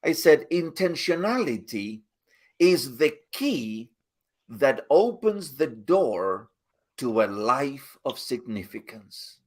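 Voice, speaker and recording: A man's voice: mid-range voice, very animated, slow pace. A man hosting a motivational live talk, speaking earnestly and often repeating his phrases. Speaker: host.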